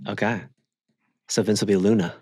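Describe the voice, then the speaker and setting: A man with a fairly low voice talks as a podcast co-host. He banters casually and drops playful, mock-formal quips, recorded close and dry. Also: fairly even intonation, moderate pace, darkish tone, husky, no echo.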